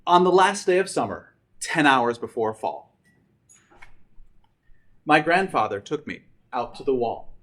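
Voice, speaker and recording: Male teacher, storybook read-aloud to a class, emphatic, with big swoops in pitch. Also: big pitch swings, a little slow, long pauses, a bit bright. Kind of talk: reading a rhyming picture book aloud to students.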